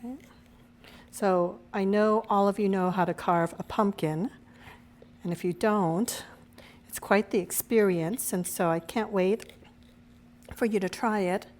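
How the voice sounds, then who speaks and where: Lady cooking show host, bit raspy from a cold, chatty, walks you thru every step. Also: mid-range voice, steady tone, unhurried, pauses often, darkish tone. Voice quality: slightly raspy. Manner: chatty, explains each step as she goes. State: recovering from a cold. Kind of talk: casual cooking demonstration.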